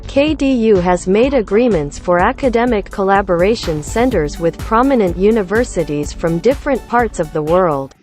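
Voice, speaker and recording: A woman narrates a promotional video in a mid-range voice. She reads from a prepared script, and music plays clearly behind her. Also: mid-range voice, animated, moderate pace, hardly any pauses, a little mellow.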